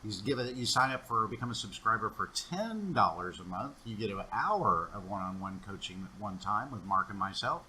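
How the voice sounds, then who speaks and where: A man in his forties co-hosting a casual sales podcast, upbeat and promotional, pitching coaching and giving pep advice. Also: expressive, normal speed, few pauses, bright, forceful tone, slight background noise, rough recording. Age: 40s. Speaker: podcast co-host.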